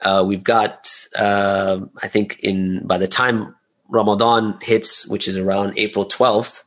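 Male interview guest, lower-pitched voice, conversational and chatty delivery, peppered with small check-in tags. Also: little pitch movement, slow pace. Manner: chatty, often adds "right?" and "you know". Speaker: interview guest, audio drama creator.

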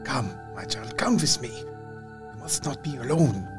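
An actor playing a compassionate, commanding older doctor with solemn, deliberate and slightly unidiomatic phrasing in an audio drama. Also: male voice, medium pitch, expressive, over music, close to an everyday voice. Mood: tender, coaxing.

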